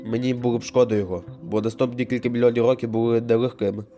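A calm cartoon storyteller, voiced by a man, speaking in a lowered, measured voice. The narration is explanatory, over background music. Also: close to an everyday voice. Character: calm storyteller recounting the planets' early history. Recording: music behind the voice.

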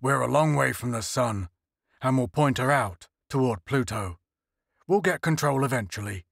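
A man's voice: mid-range voice, animated, moderate pace, creaky, no room sound, clean studio sound. A man voicing a bold, plainspoken male spaceship commander in a story reading. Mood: wry.

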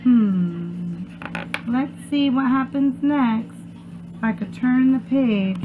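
Young female preschool teacher for children's read-aloud; sing-song, slow delivery, thin tinny audio with heavy noise. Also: fairly high pitch. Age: young adult. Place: small room at home. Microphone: webcam or laptop at arm's length. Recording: muffled phone-like webcam sound, loud noise.

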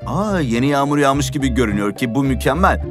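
A man's voice: medium pitch, expressive, quick pace, close to an everyday voice. A man voicing a friendly, showy rainbow performer in a dubbed children's cartoon, explaining things in an upbeat, theatrical way. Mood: proud, welcoming. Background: music.

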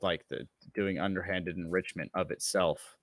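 A man co-hosting a casual political livestream, reading an article aloud with halting, sardonic asides. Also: low-pitched, fairly even intonation, no room sound. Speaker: show co-host reading and commenting on an article. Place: indoor room at home, livestream video call.

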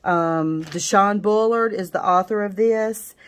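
A woman's voice: mid-range voice, a little slow. A woman, a nail technician, casually reviewing a salon business book in a chatty way, with many fillers and restarts.

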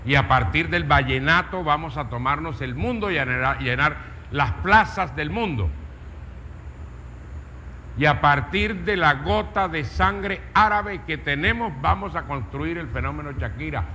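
A man's voice: on the high side, pushed and bright. A man with an accent from Colombia's Caribbean coast telling a story in a public talk, emphatic and rhetorical, piling up lists and questions. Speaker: speaker, writer. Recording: dull, reverberant hall with faint noise.

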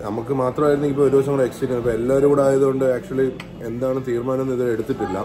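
A man's voice: medium pitch, flat delivery, very fast. A man in his thirties with a Malayali accent, chatting casually as he walks a city street for a travel vlog. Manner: chatty, thinking aloud while walking. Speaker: travel vlogger. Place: outdoors on a busy city street. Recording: noisy street ambience.

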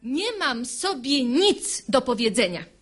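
A sharp-tongued young maidservant in a classical verse radio play. Her voice is high and very lively, rising and falling with theatrical sarcasm. She articulates every bold, mocking line crisply. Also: slow pace, exaggerated voice. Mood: defiant, mocking.

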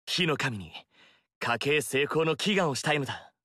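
A man's voice: mid-range voice, very animated, normal speed, pushed and bright, husky, dry recording, exaggerated voice. An actor voicing an earnest young male warrior, formal and eager to learn. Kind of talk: studio game dialogue. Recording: dry studio recording.

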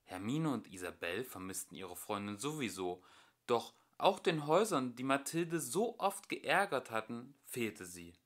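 A young man narrating a children's story as an amateur audiobook reader, with expressive delivery. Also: mid-range voice, measured pace. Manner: expressive storytelling with acted voices.

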